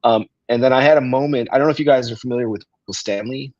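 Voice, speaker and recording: A middle-aged man, born in Russia and raised in Cleveland, speaking as a guest musician in a relaxed, storytelling way with frequent ums. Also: medium pitch, very fast, no room sound, muffled recording. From Russia, raised in Cleveland, Ohio. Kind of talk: casual interview talk. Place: restaurant.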